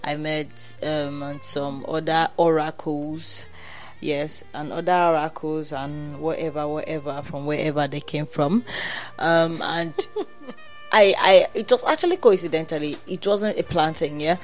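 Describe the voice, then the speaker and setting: A young woman with a Nigerian accent speaks in a low, deep register as she chats with a friend, winding through her stories with restarts and quick asides. Soft music plays faintly in the background. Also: steady tone. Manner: chatty storyteller, many restarts and quoted voices. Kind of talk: casual chat.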